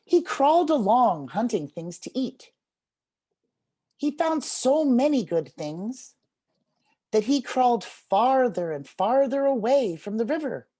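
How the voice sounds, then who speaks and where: A woman leading an interactive read-aloud as a teacher and storyteller, encouraging and expressive, speaking over a video call. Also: fairly high pitch, takes it slowly, breathy edge. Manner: encouraging, expressive, addresses listeners like young children. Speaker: literacy workshop teacher, storyteller.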